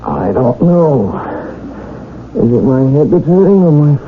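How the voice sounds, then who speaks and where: A man's voice: fairly high pitch, very animated, slight background noise. Muffled radio anxious, insistent young man. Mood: confused, insistent. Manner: agitated, halting, pleading. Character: anxious, insistent young man, accident victim, recently blind. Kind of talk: 1970s radio drama acting. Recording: muffled, band-limited old radio broadcast.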